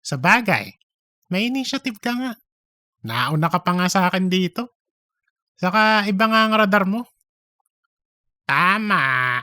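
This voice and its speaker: A man's voice: high voice, very animated, measured pace, pauses often, sharp tone, dry recording, clean studio sound, full character voice. A man playing a puzzled, skeptical male office interviewer in a comedic audiobook, questioning and a little exasperated. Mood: considering.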